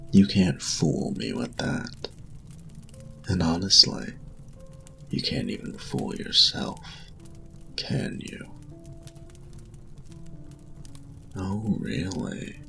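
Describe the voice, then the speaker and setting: Guy acting as a cocky flirty bully, super low voice, slow taunting drawl that swoops around a lot, intimate audio roleplay. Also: pushed and bright, heavily acted. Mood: mocking. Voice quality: gravelly, often dropping to a whisper. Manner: taunting, breathy, drawn-out delivery.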